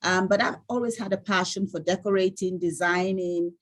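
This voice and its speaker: A woman from Sierra Leone with a Sierra Leonean accent, giving a measured, articulate self-introduction as an online workshop participant. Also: fairly low pitch, steady tone. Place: online video call.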